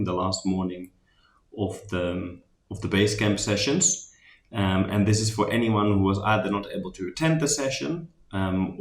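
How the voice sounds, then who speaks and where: Deep-voiced Dutch man, echoey room. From Netherlands. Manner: relaxed, talkative, many ums and restarts. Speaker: spatial design architect presenting a workshop recap. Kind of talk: recorded presentation recap.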